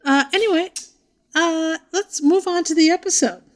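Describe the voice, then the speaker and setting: A middle-aged woman co-hosts a casual podcast with a dry, self-mocking wit. Her voice is high for a woman, and she keeps things wry and unhurried while laughing easily. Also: slight background noise. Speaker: podcast co-host. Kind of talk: casual comedic chat.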